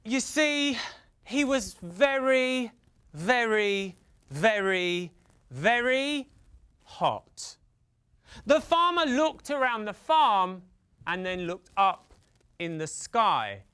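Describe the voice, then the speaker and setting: A man from the UK telling a folktale theatrically for children, with dramatic pauses, repetition and drawn-out emphasis. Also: sits high, big pitch swings, bright, forceful tone, clean tone. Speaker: storyteller.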